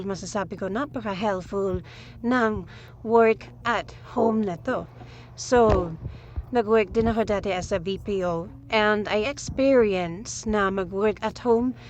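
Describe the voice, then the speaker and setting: A woman's voice: medium pitch, slow, dull audio, a little hiss or hum. A woman in her twenties with a Filipino accent, narrating a casual vlog in a chatty way and mixing in English phrases. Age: 20s. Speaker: vlogger, ESL teacher working from home.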